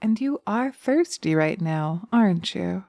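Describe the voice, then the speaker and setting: A seductive, dominant witch acted by a woman speaks in a lowish, very soft and mellow voice. She is slow and coaxing, teasing with a sinister sweetness, and often slips into whispers. The sound is dry and intimate, close to a binaural mic. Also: lively intonation, creaky, no room sound. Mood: soothing, commanding. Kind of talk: breathy binaural hypnosis roleplay audio. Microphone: close binaural microphone.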